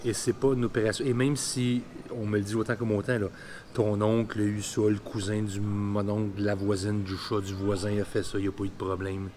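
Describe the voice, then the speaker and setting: A man's voice: lowish voice, flat delivery, a little fast, mellow, slight background noise. A man of about fifty with a Quebec French accent, telling a personal story candidly and colloquially in a vlog. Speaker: vlogger.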